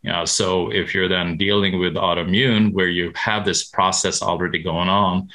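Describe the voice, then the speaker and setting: A man from Sweden, a medical professional answering interview questions haltingly, with many restarts. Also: low voice, fairly even intonation, sharp tone.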